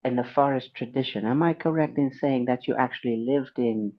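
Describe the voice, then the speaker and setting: A man's voice: medium pitch, a little fast, muffled recording. A man hosting an interview podcast, polite and effusive, with a slight sore throat. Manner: polite, effusive, thanks guests warmly. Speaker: podcast host. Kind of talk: friendly interview chat.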